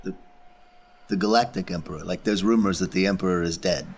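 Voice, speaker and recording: A man's voice: low voice, brisk, husky. A man playing a tabletop role-playing game, improvising in casual conversation.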